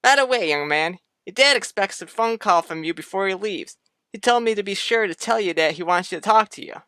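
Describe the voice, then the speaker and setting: A woman's voice: mid-range voice, animated, brisk, fairly bright tone, smooth, dry recording, studio quality, close to an everyday voice. A woman voicing an affable but defensive grown man with a folksy manner in a radio soap opera.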